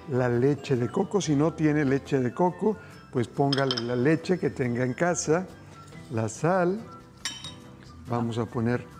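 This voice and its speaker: A middle-aged man hosting a cooking show, cheerfully walking viewers through a recipe. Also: mid-range voice. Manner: enthusiastic, addresses viewers directly. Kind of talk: cooking demonstration. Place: TV studio kitchen set.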